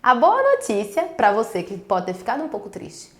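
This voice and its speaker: A woman teacher with a Northeastern Brazilian accent explains earnestly and speaks directly to her pupils. Her voice sits a little high, and the recording has a roomy echo. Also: wildly swooping pitch, normal speed, fairly bright tone. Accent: Northeastern Brazilian, Pernambuco. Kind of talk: recorded school video lesson. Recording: echoey room.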